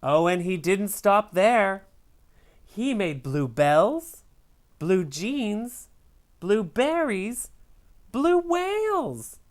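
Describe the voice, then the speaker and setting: A woman reading a children's picture book aloud in a theatrical, expressive way, speaking directly to young viewers. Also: medium pitch, drawn-out words.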